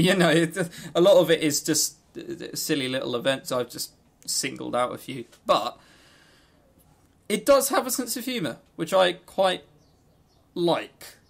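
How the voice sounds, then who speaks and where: A man with a gravelly edge to a medium-pitched voice, co-hosting an informal podcast. He rambles through his opinions in a loose, joking way and swears freely. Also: fairly bright tone. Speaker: podcast co-host. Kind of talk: casual unscripted visual novel review chat.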